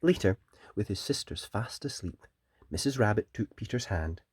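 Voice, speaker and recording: A children's story reader and host, narrating a picture book in a gentle, deliberate and expressive way. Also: medium pitch, slightly rough, dry recording, studio quality.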